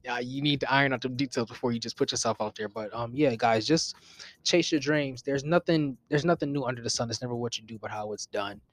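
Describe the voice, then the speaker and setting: A man's voice: mid-range voice, fast talker. A man around twenty co-hosting a podcast, talking earnestly about goals and chasing dreams, with frequent ums. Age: 20s. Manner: earnest, motivational, hesitant fillers. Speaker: podcast co-host. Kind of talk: casual podcast chat.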